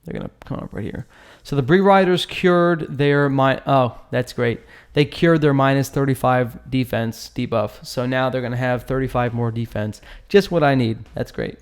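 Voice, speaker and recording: A man's voice: mid-range voice, normal speed, hardly any pauses, clear, resonant tone. A man hosting a gaming channel, giving casual commentary as he analyses a battle, with informal, slangy, joking asides.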